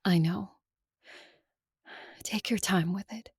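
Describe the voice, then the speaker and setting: An actress playing an ancient, earnest and resolute vampire noblewoman in an audio drama, gravelly and husky, speaking in a measured, grave, confiding way. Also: medium pitch, no room sound, clean studio sound, heavily acted. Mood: grave, confessional. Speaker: voice actor and story narrator.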